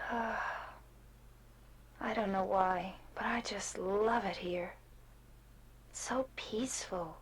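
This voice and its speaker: An actress playing a gentle, wistful young wife in a video game cutscene, speaking softly and breathily, close to a whisper. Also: female voice, mid-range voice, steady tone, extremely slow, long pauses, breathy edge. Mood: wistful, tender.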